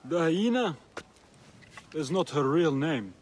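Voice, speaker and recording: An actor playing a sly, joking market trader with a teasing, wheedling manner. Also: male voice, a little high, animated, slow, darkish tone. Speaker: merchant.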